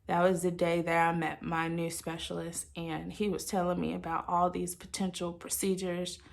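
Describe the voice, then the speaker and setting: A woman's voice: on the low side, monotone, normal speed, vocal fry. A woman talking informally and candidly to her viewers, like a chatty personal video diary. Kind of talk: casual confessional chat to viewers.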